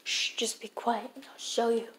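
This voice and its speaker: A young performer playing a grumpy, tired father who scolds his son in a homemade skit, gruff and repeating his orders. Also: mid-range voice, flat delivery, normal speed, heavy background noise, lightly acted.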